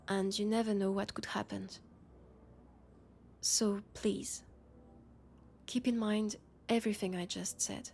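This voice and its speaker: Slow-spoken, worried French-accented policewoman, acted by a woman. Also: mid-range voice, a little mellow, slight background noise, close to an everyday voice. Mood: worried, earnest. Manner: hesitant, confiding, sighing. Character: worried, disillusioned young policewoman in a dystopian city. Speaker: roleplay audio performer. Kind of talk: intimate sci-fi roleplay acting.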